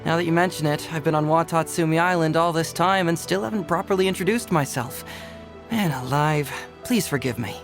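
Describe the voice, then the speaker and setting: An actor playing a young male detective in a video game, quick-witted, easygoing and teasing. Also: a little high, over music, natural voice. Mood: easygoing, playful. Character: young male detective, sharp and playfully confident. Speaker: detective character.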